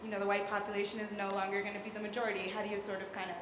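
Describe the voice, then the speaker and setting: A woman's voice: medium pitch, monotone, rapid-fire. A young adult woman in the audience, rambling and hedging as she makes a long comment. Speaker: audience member. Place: large hall at a public event. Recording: echoey hall, faint room noise.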